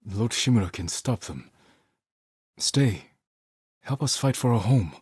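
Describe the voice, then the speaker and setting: An actor playing a grave, honor-bound young samurai lord in a video game, speaking in a measured, restrained way with short, solemn sentences. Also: male voice, mid-range voice, lively intonation, a little mellow, slightly rough, no room sound, studio quality. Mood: urgent, reassuring.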